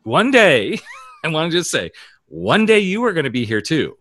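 A middle-aged man hosting a casual conversation, candid and animated, acting out the dialogue he quotes. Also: a little high, normal speed. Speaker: podcast host and author. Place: US West Coast.

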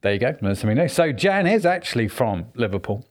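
A man hosting a podcast, chatting in a friendly, teasing way with quick restarts. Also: medium pitch, sing-song. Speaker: podcast host. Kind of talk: casual interview chat.

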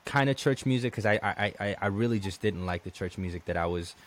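A man's voice: lowish voice, a little fast. A man from Colombia hosting a podcast, chatting casually and restarting his sentences often. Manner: conversational, many restarts and "man". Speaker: podcast host. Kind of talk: casual interview chat.

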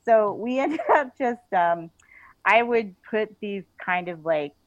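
A woman author talking casually as a podcast guest, halting and chatty, often saying like. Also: medium pitch, lively intonation, no echo. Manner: halting and chatty, frequently says like. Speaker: guest author and audio drama writer. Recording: dull lo-fi audio.

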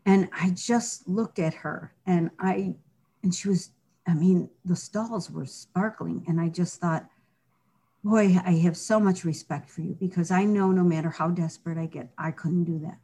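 A woman's voice: on the low side, steady tone, unhurried, mellow, breathy edge. A middle-aged woman talking earnestly and reflectively as an interview guest, often restarting her sentences. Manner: earnest, reflective, with frequent restarts and "you know". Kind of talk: candid personal interview.

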